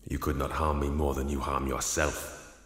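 An actor playing a young, zealous, fearless space warrior in an audio drama, bold and challenging. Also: male voice, very deep voice, level tone, moderate pace, fairly bright tone. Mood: defiant. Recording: echoing hall with machinery noise.

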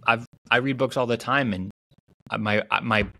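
A man's voice: mid-range voice, steady tone, normal speed, gravelly, bright, forceful tone, no echo. A man in his thirties, a guest author in a casual video-call conversation, talking animatedly with many restarts and fillers. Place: small home room on video call. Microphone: video call mic.

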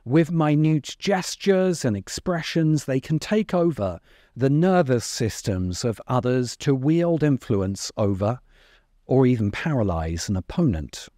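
Middle-aged male, video essay narrator, animated intonation, dry close-miked sound. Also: medium pitch, measured pace, no echo. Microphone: close microphone on a stand in front of him.

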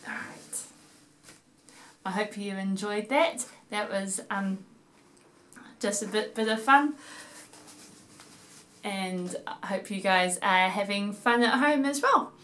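A middle-aged woman hosting storytime for children, speaking in a deliberate, emphatic, playful storytelling style. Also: mid-range voice, fairly bright tone, slight background noise. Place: small home living room. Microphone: camera a few feet away.

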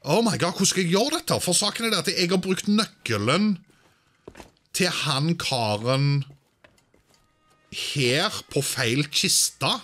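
A man's voice: a little high, sing-song, a bit bright. A man doing casual let's-play commentary, thinking aloud and sprinkling in English exclamations. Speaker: gaming video host.

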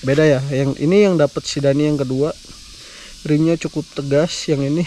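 A man's voice: on the high side, steady tone, moderate pace, dark tone, slight background noise, low-quality recording. Chatty young Sundanese man vlogging outdoors. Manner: chatty, slangy, addresses viewers as guys, laughs often. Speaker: fishing vlogger. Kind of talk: casual vlog narration. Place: outdoors by a pond in rural greenery.

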